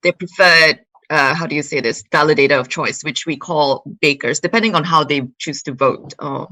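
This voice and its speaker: A woman guest on an online panel talks in a bright, forward voice. She hesitates often and fills the gaps with ums while she looks for the right words. The sound is dry and close. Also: sits low, normal speed, no room sound. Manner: hesitant, many fillers and searching for words. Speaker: panel guest, marketing head.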